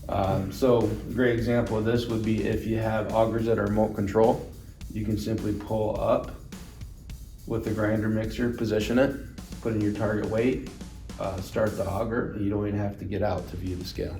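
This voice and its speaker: A man's voice: lowish voice, little pitch movement, normal speed, warm tone. A man giving an instructional product demonstration, explaining things plainly and step by step. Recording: roomy echo, distant mic, music underneath.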